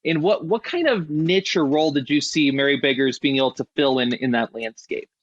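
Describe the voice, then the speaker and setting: Higher-pitched man hosting, dry dull audio. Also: brisk, dry recording. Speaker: podcast host. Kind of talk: casual conversational interviewing.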